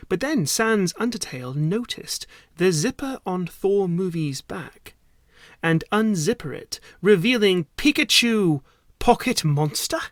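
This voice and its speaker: A male voice actor narrates a story with theatrical weight. He takes his time over the phrases, slipping at times into hushed delivery. The sound is dry, with no room around it. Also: sits high, animated, measured pace, no echo. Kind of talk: dramatic narrated story reading.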